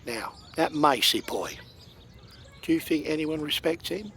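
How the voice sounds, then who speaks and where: Gravelly, slow older London ex-con, played by a man. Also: on the high side, animated, sharp tone, slight background noise, exaggerated voice. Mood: grave, warning. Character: older male ex-convict villain, fatherly, gravely cautioning. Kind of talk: radio play dialogue.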